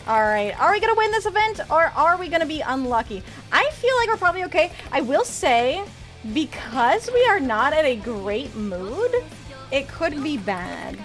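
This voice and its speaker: A young woman chats with her stream audience in a high voice that leaps up and down, yelling excitedly when her racer pulls ahead. She mentions she is losing her voice. Light game music plays quietly under her. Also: moderate pace. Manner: chatty, excitable, cheers during races. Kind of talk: live game stream commentary with chat.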